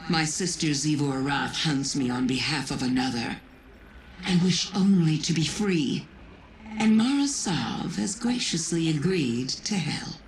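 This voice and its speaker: An actress voicing a sly, menacing alien witch queen in a video game, breathy, languid and sardonic. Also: sits low, lively intonation, a little slow. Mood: mocking, sly. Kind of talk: video game cutscene dialogue. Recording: echoey, noisy ambience behind.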